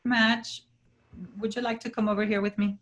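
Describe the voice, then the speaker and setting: A woman's voice: fairly high pitch, little pitch movement, normal speed, close to an everyday voice. A woman playing the kindly but firm leader of travelling actors in a staged play reading. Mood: reassuring, firm.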